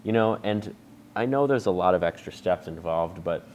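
A man in his thirties walks through a coffee brewing recipe in a relaxed, chatty how-to style, explaining each step as he goes. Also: sits low, moderate pace, slight background noise. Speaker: coffee shop owner demonstrating a brew recipe. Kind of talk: casual how-to demonstration. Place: bright indoor room with a table.

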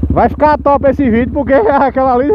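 A man's voice: very high-pitched, fairly even intonation, a little fast. A young man chatting casually and slangily while riding a motorbike, raising his voice. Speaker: motovlogger. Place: outdoors on a moving motorbike on the road. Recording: muffled, loud motorcycle engine and wind noise.

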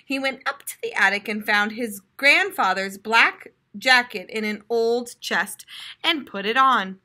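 An American woman in her twenties, a teacher reading aloud to young children in an expressive, explanatory way. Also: a little high, a little slow. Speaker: primary school teacher. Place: classroom, indoors.

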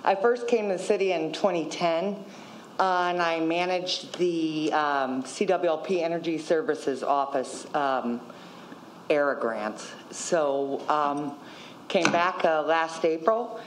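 A woman's voice: sits low, takes it slowly, slight background noise, low-quality recording. A woman in her fifties, a city operations coordinator, giving a matter-of-fact report at a podium in a council chamber. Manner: matter-of-fact, listing figures. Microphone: podium microphone.

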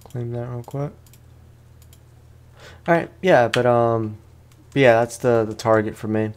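A man's voice: medium pitch, fairly even intonation, measured pace, darkish tone, a little hiss or hum. A man giving casual let's play commentary as a gaming host, in a rambling, slangy, self-deprecating way.

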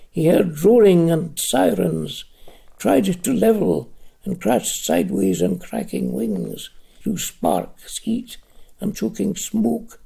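An older man reading his own novel aloud at home, in a slow, dramatic literary reading. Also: vocal fry, dark tone, husky. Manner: slow, dramatic reading with wide swings of pitch.

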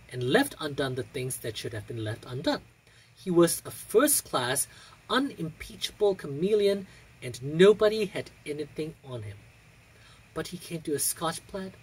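A young adult with an androgynous, husky voice reciting poetry in a measured, theatrical way with deliberate pauses. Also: mid-range voice, a little hiss or hum, low-quality recording. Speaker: poetry reader.

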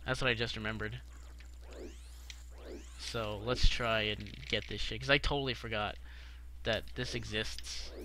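A man's voice: lowish voice, steady tone, unhurried, pauses often, noisy background. A young man giving casual, foul-mouthed, slangy live commentary while streaming a video game. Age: young adult.

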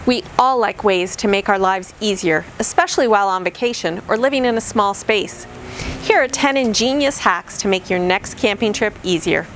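A woman's voice: mid-range voice, moderate pace, a bit bright, faint music behind. Upbeat middle-aged woman presenting camping tips. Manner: upbeat, clearly enunciated presenter delivery. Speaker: vlogger. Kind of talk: reading a scripted tips list to camera. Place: outdoors beside a camper trailer.

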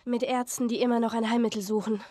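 A woman's voice: on the high side, steady tone, mellow, close to an everyday voice. An actress playing a scrappy, defensive teenage girl survivor in a video game, earnest and blunt. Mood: hesitant, earnest. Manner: earnest, halting, foul-mouthed. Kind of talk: dramatic video game dubbing.

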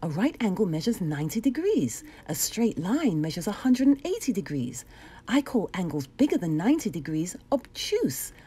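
A woman's voice: mid-range voice, warm tone, breathy edge. A woman voicing an earnest, plucky young squire boy in a children's storybook.

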